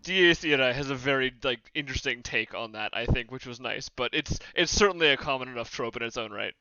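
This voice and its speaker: Guy explaining stuff on a podcast, bright clean voice, no room echo, audio a bit lo-fi. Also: mid-range voice, fast talker. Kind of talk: casual podcast discussion.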